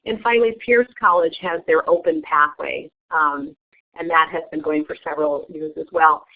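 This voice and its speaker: Lady running a webinar, kinda low voice, lots of ums, tinny call audio. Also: normal speed. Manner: hesitant, many fillers. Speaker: webinar host. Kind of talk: online meeting presentation. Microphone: web conference audio. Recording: tinny phone-like line.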